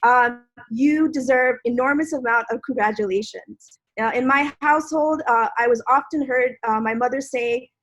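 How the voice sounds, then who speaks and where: A woman's voice: a little high, normal speed, fairly bright tone, rough recording. A woman in her forties, born in Bangladesh, a law professor giving an earnest, reflective personal speech at an online panel. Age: 40s.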